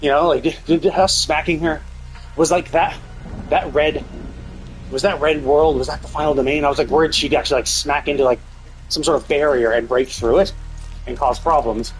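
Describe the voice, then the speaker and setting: A man's voice: on the high side, steady tone, normal speed, soft background music, low-quality recording. A man giving casual, blunt commentary as a live game streamer, talking in a sweary, venting way.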